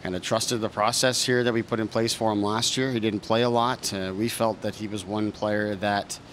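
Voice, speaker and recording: Head coach, thirties, male; low, monotone delivery, measured and restarting; close press mics, noisy room. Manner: measured, thoughtful, frequent fillers and restarts. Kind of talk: post-game press conference answers. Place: press room in front of a sponsor backdrop. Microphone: several reporters' handheld mics close in front.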